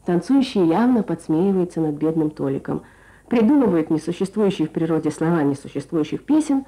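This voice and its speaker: A woman's voice: lowish voice, animated, brisk, dark tone, rough recording. A woman presenter reading a scripted feature in the style of Soviet radio. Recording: archive radio broadcast with music.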